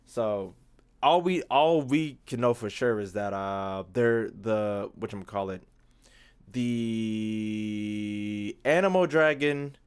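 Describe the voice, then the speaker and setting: A man's voice: on the low side, clean tone. A young man giving casual, rambling livestream gaming commentary, slangy and full of swearing. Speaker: game streamer.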